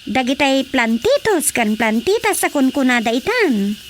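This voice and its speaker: An actress playing a gossipy village woman in a radio comedy, chatty and expressive, with an Ilocano accent. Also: high voice, normal speed, slight background noise. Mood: chatty, amused.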